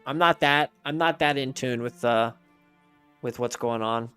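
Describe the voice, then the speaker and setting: A man's voice: mid-range voice, unhurried, bright, forceful tone, clean tone, no room sound, faint music behind. A man streaming a game and chatting casually with his viewers, answering their messages aloud in a rambling way with frequent restarts.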